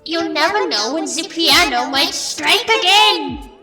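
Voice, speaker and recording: High-pitched, whimsical mad captain. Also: female voice, expressive, a little slow, sharp tone, full character voice. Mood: dramatic, urgent. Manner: theatrical, sing-song, grandiose nonsense. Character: Captain, whimsical, deluded, cheerfully commanding wasteland leader. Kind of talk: comic dub character acting. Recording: background music behind the voice.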